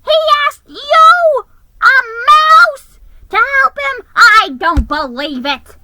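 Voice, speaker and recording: A woman's voice: very high-pitched, lively intonation, slow pace, very noisy, pitched above the performer's own voice, exaggerated voice. A woman voicing a jealous, hot-tempered little male Christmas elf in a storybook, shrieking and grumbling his complaints. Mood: shocked, outraged.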